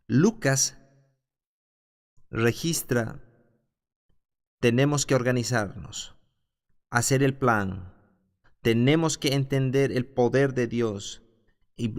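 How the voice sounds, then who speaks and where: A man teaching a Bible class who reads scripture aloud and then explains it, stopping now and then to restart a phrase. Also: mid-range voice. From the south of his country.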